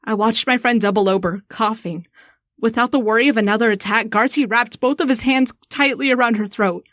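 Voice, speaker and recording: A woman playing a shaken, sharp-tongued young woman in a first-person audio drama. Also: fairly high pitch, brisk, no room sound, clean studio sound, lightly acted. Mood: tense narration.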